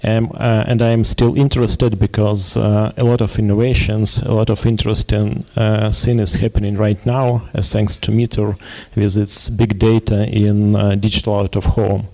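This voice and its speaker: Guy, 40s, low gravelly voice, halting, flat delivery on a panel. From Ukraine. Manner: halting, searching for words. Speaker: panel guest, digital agency executive. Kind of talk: panel discussion.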